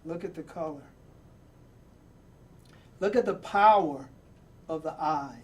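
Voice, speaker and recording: A man with a high-set voice reads a picture book to listeners at a very slow pace. He leans on key words, pauses deliberately and repeats phrases for emphasis, then steps out of the text to add asides of his own. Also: a little hiss or hum. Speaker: storybook reader and presenter. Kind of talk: expressive read-aloud of a picture book with personal asides.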